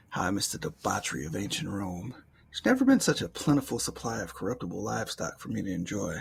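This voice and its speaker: Casting: male actor as sly, condescending age-old demon for ASMR roleplay; very creaky, breathy, expressive delivery. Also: on the low side, slight background noise. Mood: nostalgic, gloating. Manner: drawn-out, theatrical, formal wording, half-whispered phrases.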